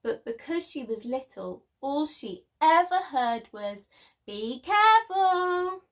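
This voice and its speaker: A woman presents story time for preschool children. Her voice sits fairly high and she takes her words very slowly, encouraging her little listeners as she goes. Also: lively intonation. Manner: encouraging, addressing small children.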